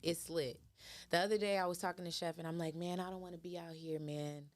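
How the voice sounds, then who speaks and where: A woman from Florida, a daycare owner, chatting casually and telling animated, slangy stories on a podcast. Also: fairly low pitch, brisk.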